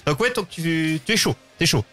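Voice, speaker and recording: A man's voice: fairly high pitch, expressive, a little slow, a bit bright, soft background music. A man hosting a casual, unscripted talk show, often rephrasing himself as he goes. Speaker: host of a live call-in show.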